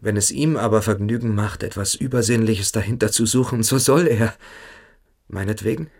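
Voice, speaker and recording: An actor playing a shamed, evasive teenage cadet in a radio play, halting and self-justifying. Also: male voice, fairly low pitch, a little mellow. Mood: defensive. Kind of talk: German radio play acting.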